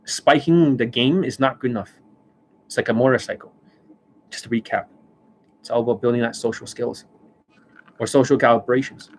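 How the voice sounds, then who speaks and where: A man hosting a live stream as a dating coach. He rants bluntly and swears often, and his voice is worn and hoarse from overuse, with coughs and throat clearing. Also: mid-range voice, fairly even intonation, normal speed, pauses often, slight background noise, poor audio. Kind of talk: livestream monologue. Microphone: desk microphone.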